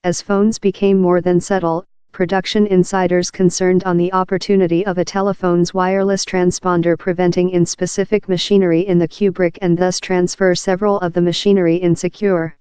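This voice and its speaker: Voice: medium pitch, flat delivery, brisk, few pauses, a little mellow, clear, resonant tone, no echo. A narrator reading a scripted article aloud in an impersonal, uninflected way.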